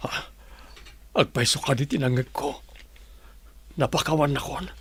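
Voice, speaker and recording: Raspy, earnest remorseful husband. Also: male voice, fairly high pitch, measured pace. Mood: moved, earnest. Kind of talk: radio drama acting.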